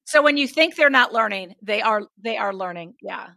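A woman's voice: a little high, expressive, moderate pace, gravelly, sharp tone. A middle-aged woman hosting a casual interview, affirming and recapping what she hears. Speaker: podcast host.

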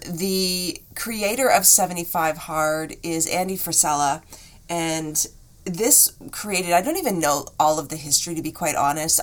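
A woman hosting a solo podcast, chatting in an encouraging way, her voice a bit rough today. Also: lowish voice. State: slightly rough voice. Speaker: podcast host.

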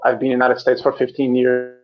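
A man's voice: mid-range voice, monotone, quick pace. A man in his thirties, originally from Brazil, talking as a guest in a casual interview, earnest and often repeating words as he starts sentences. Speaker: canine physical therapist, guest. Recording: dull lo-fi audio from a live stream.

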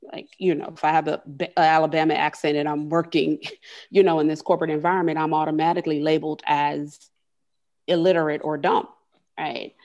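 A woman's voice: deep voice, level tone, a little fast, a little mellow, dull audio. A woman being interviewed as a corporate leader and coach, speaking earnestly and often repeating words as she gathers her thoughts. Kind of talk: conversational interview.

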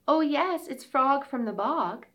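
A woman voicing a small, friendly male frog in a children's story, bright and welcoming. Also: sits high, lively intonation, slow, pitched above the performer's own voice, full character voice.

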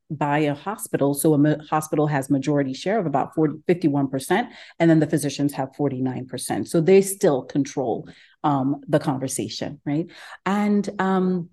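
A woman from Ghana, probably in her forties, answering interview questions earnestly as a guest, often checking in with "right?". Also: sits low. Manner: earnest, steady, frequent "right?" and "um". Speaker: gastroenterologist and practice owner, guest. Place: home.

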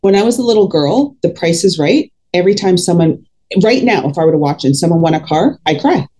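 A woman's voice: fairly low pitch, animated, brisk, mellow. A woman in her fifties talking candidly in an interview, outspoken and emphatic. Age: 50s. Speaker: podcast guest, fitness coach.

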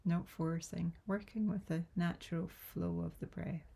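Low, creaky, breathy woman guiding meditation. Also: steady tone. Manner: soothing, gentle, unhurried with long pauses. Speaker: yoga nidra meditation guide. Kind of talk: guided relaxation meditation.

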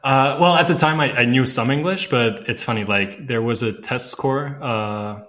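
A man's voice: medium pitch, moderate pace, a bit bright. A man from Latvia, a native Latvian speaker, talking in English as an interview guest, easygoing and friendly with many fillers. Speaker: guest, recruitment company founder. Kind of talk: casual interview answers.

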